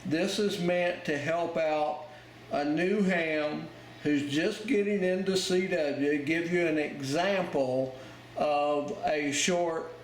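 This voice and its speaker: A man giving an informal ham radio lesson, talking in halting pieces as he explains a demonstration step by step. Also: on the high side. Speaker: amateur radio instructor. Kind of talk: informal instructional narration over a demonstration. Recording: roomy, loud noise, radio clicks and tones.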